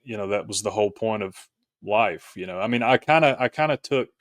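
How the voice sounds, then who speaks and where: Low-voiced man explaining, recorded dry. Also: animated, a little fast, no echo. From rural Tennessee, United States. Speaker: podcast guest, author. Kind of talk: reflective conversational interview.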